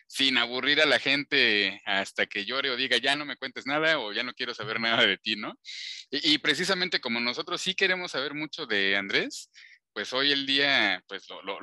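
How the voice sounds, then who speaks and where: Bright-voiced host, very dry sound. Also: male voice, medium pitch, dry recording. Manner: chatty, informal, with conversational tags. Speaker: interview host. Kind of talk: live interview.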